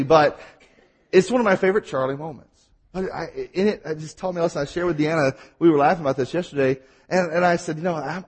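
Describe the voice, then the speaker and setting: Husky Mississippi-accented pastor preaching. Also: male voice, a little high. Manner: fast-talking at times, animated storytelling. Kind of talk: sermon.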